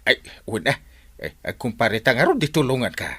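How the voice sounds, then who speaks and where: A jovial village captain, with a mid-pitched voice that swings widely up and down. He sounds like a helpful friend in a radio comedy, recorded dry. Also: very animated, a little fast, fairly bright tone, husky, no echo. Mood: jovial, friendly. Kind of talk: Ilocano comedic radio drama acting.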